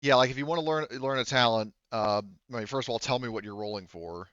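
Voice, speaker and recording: A man's voice: mid-range voice, fast talker, fairly bright tone, clear, resonant tone, no echo, highs cut off. A man speaking as game master in a casual, rambling way, explaining rules and describing scenes and often correcting himself.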